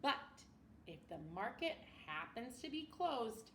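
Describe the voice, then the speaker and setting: A woman reads a children's picture book aloud like a schoolteacher, at an unhurried pace with big swoops in pitch. She is expressive and breaks off to put questions to her young listeners. The sound is roomy, as if the microphone sits some way off. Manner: animated, expressive, pausing to ask the listeners questions. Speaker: elementary school teacher. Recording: roomy, distant mic with faint noise.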